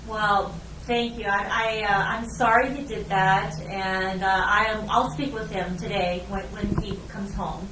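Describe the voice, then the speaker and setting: Lady acting as a polite mom on a call, earnest, bit halting, far from the mic w faint noise. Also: mid-range voice, steady tone, natural voice. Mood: grateful, apologetic. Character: courteous mother, protective of her child. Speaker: parent. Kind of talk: role-played phone call demonstration. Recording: echoey room, distant mic, faint noise.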